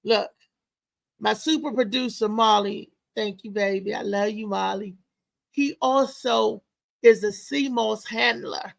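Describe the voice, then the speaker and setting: A woman hosting a casual live show as a comedian, talking in a loud, lively, chatty way with lots of exclamations. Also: mid-range voice, a little slow, gravelly. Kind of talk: casual live-stream chat and promotion.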